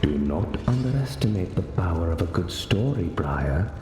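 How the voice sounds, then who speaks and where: An actor playing a calm, wry male mentor in a video game, speaking in a measured, formal, instructive way. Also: low voice. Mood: solemn, instructive. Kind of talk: video game cutscene acting. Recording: echoey hall reverb, music behind.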